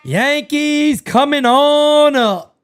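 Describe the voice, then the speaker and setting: A man hosting a live fan watch party, calling the game play-by-play and chatting with viewers. He is losing his voice, gets excitable, shouts on big plays and swears freely. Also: very high-pitched, extremely slow.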